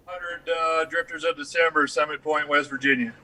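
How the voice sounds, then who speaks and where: A man's voice: a little high, fairly even intonation, normal speed, bright, forceful tone. A male racing crew member speaking modestly over a phone video call. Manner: modest, easygoing.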